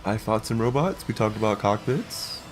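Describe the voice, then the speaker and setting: A man's voice: fairly low pitch, lively intonation, moderate pace. A man casually commentating while he plays a game, in short, crudely joking remarks. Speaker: let's play player and commentator. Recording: noticeable background noise.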